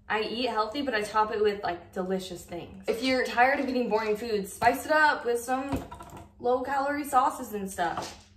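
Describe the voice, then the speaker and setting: A woman's voice: fairly high pitch, a little hiss or hum. Chatty young woman in an echoey kitchen. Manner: chatty, lots of "like", showing items to camera. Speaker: lifestyle vlogger. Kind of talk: casual grocery haul vlog. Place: home kitchen, indoors.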